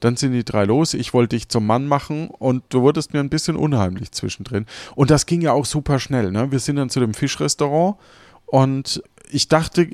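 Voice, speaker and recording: A man's voice: medium pitch, normal speed, a little mellow, no room sound. Man chatting casually, dry close sound. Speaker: podcast co-host. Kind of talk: casual chat.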